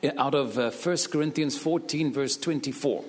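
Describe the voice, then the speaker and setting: A man preaching in short phrases to a church, pausing for an interpreter. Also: fairly high pitch, darkish tone. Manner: speaks in short phrases, broken German asides. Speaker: guest preacher. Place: church hall. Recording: long hall reverb.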